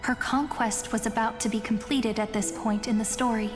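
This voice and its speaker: A woman's voice: on the high side, fairly even intonation, moderate pace, natural voice. A woman playing a reflective chronicler in a video game, narrating her memories in an earnest, literary manner. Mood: wistful, reflective. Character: reflective female chronicler recalling her ruler's life. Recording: reverberant, music behind.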